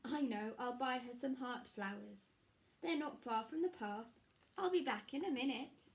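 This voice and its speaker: A woman's voice: fairly high pitch, measured pace. A woman voicing an earnest, apologetic, wide-eyed little girl in a read-aloud storybook. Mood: dismayed, resolving.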